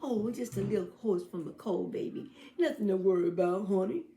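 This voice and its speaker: A woman's voice: mid-range voice, a little fast, dark tone, natural voice. Woman putting on a sly wolf, gloating, scheming, echoey room. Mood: coaxing. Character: sly hungry male wolf.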